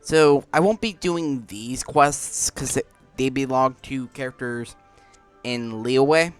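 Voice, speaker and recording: A man's voice: medium pitch, slow pace, smooth. A man giving casual game playthrough commentary, thinking aloud as he goes. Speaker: gaming video maker. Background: faint game music.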